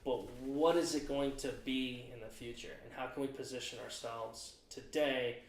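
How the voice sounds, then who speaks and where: A man in his twenties hosts a relaxed podcast conversation at a middle pitch, circling his points with plenty of "you know" and "uh". The sound carries a noticeable roomy echo. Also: moderate pace. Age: 20s. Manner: rambling, thinks aloud with many fillers. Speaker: podcast host. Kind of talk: casual chat.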